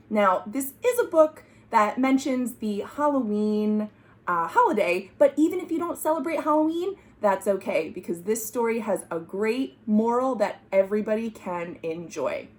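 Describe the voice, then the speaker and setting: A woman hosting a children's storytime, reading aloud expressively and pausing to explain words and ask the listeners questions. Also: mid-range voice. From United States.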